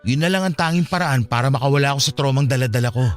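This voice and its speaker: A man's voice: mid-range voice, rapid-fire, faint music behind, natural voice. A man with a Filipino accent playing an earnest, wounded young man, pleading emotionally in a radio drama. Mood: earnest, tender.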